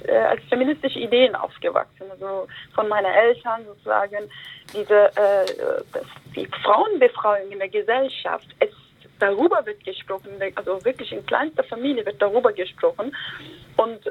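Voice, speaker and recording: A woman with a somewhat high voice talks earnestly, pausing often on fillers as she searches for words. Her voice comes through a tinny, narrow phone line. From Germany. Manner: hesitant, many fillers, earnest. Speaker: interview guest, Kurdish feminist activist. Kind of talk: phone-in interview answers. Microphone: telephone.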